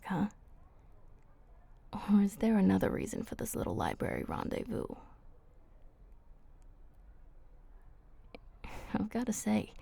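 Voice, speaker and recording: A woman's voice: mid-range voice, dark tone. Woman playing a whispering, flirty, protective vampire woman. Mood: teasing, concerned. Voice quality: breathy, husky. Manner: intimate, coaxing, casual slangy speech. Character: flirty, protective female vampire, soft and teasing. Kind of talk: whispered ASMR roleplay.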